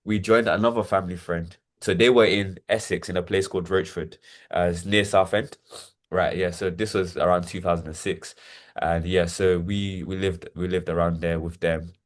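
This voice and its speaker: Deep-voiced young man, hesitant storytelling. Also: moderate pace. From Nigeria. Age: 20s. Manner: hesitant, repeats words, many fillers. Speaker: interview guest. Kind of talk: reflective personal testimony.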